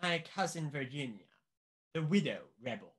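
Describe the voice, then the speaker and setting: An actor playing a pompous, anxious upper-class government official in a mystery radio play, speaking deliberately and emphatically. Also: male voice, fairly high pitch, expressive, a bit bright. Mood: persuasive, smug.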